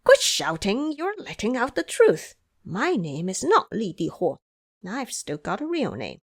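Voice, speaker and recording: A woman voicing a cocky, quick-witted male monkey disciple in an audio drama, brash and persuasive. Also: mid-range voice, huge pitch swings, full character voice. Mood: urgent. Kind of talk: audio drama character acting.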